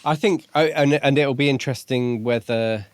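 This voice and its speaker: A man's voice: medium pitch, moderate pace, no echo. A man co-hosting a podcast and discussing a book in a casual, conversational style. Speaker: podcast co-host.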